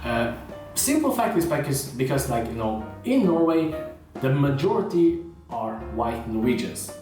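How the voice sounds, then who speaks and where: A man's voice: medium pitch, sing-song, a little slow, mellow. A man from China, talking casually to camera, full of fillers like "you know" and "uh". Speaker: vlogger. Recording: roomy echo, heard from a distance, with faint guitar music.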